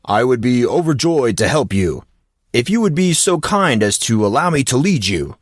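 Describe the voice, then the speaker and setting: A man's voice: mid-range voice, sing-song, moderate pace, no room sound, studio quality. A man voicing an eccentric male coach who speaks in stiff, courtly phrases. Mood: grandly polite. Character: formal eccentric male coach, grandly polite.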